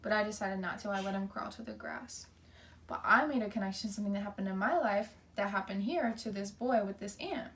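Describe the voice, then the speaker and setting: A woman's voice: medium pitch, fairly quick, fairly bright tone, distant mic, slight background noise. A woman teacher leading an interactive read-aloud for children, explaining simply and asking questions.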